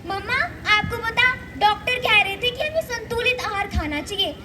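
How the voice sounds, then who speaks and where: A woman's voice: extremely high pitch, animated, moderate pace. A young female performer playing a curious, fussy schoolgirl daughter in a school stage play, reciting her lines. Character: curious, fussy schoolgirl daughter who loves junk food. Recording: noisy live stage recording.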